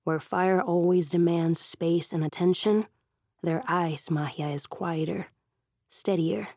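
A woman with a husky voice giving intense, dramatic audiobook narration. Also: lowish voice, steady tone, slow, warm tone, no echo, clean studio sound.

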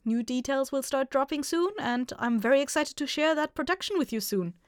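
A woman's voice: high-pitched, fairly quick, no echo, clean studio sound. A woman podcast host giving informal announcements to listeners after an episode. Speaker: show host, writer and producer.

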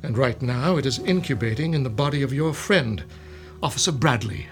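A man playing a gloating, smug mad scientist villain in a radio drama, with soft laughs. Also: medium pitch, lively intonation, moderate pace, soft background music, close to an everyday voice.

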